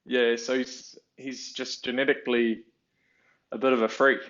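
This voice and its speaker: Male interviewer, medium pitch, informal and loosely phrased, slightly muffled lo-fi sound. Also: little pitch movement, normal speed, fairly bright tone. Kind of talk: casual interview chat. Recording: dull lo-fi audio.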